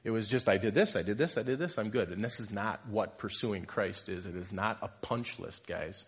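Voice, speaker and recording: Male lay preacher, husky and a bit sniffly from a cold, chatty and self-deprecating in the pulpit. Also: on the low side, normal speed. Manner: conversational, jokes with congregation, self-deprecating. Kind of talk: informal sermon. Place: church.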